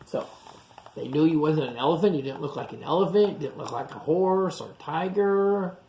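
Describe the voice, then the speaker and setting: A man reading a picture book aloud to young children, pausing for simple explanations and questions. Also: on the high side, animated, warm tone, slight background noise. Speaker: storytime reader for young children. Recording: echoey room sound.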